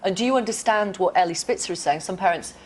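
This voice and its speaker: A woman's voice: medium pitch, quick pace. A British woman hosting a studio debate, cutting in and probing with direct questions. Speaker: talk show host.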